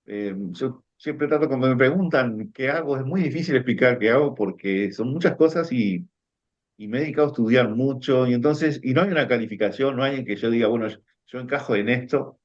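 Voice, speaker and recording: A man talks as a coach, earnest and heartfelt, stumbling over restarts. His mid-range voice comes through a dull, lo-fi recording. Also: medium pitch. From Uruguay. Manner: warm, earnest, many restarts. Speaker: coach and teacher, co-host. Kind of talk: casual conference talk. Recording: dull lo-fi sound.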